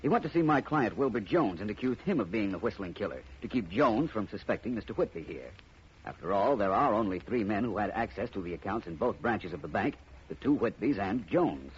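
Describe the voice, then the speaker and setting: An actor playing a suave, cool-headed amateur detective, speaking in a smooth, assured manner in 1940s radio acting. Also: male voice, fairly low pitch, lively intonation, fairly quick. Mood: explaining. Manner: smooth, assured, explaining with relish. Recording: muffled old radio broadcast, faint hiss.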